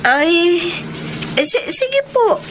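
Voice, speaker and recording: Shy high-voiced young Filipina. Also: female voice, very animated, extremely slow, fairly bright tone, slight background noise, exaggerated voice. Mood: shy, flustered. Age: young adult. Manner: hesitant, deferential, stammering. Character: shy, modest, earnest young woman hoping to finish college. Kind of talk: Filipino radio drama acting.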